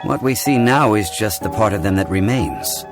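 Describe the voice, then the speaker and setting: An actor voicing a calm, learned gentleman in a video game, speaking in a measured, formal, explanatory way. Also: lowish voice, over music, close to an everyday voice. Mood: calm, lecturing.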